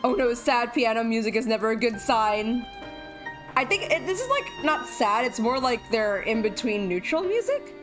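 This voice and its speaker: A woman streaming a game and chatting excitedly, sounding a bit nasal and repeating herself. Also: on the high side, moderate pace. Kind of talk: casual gameplay commentary. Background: game music.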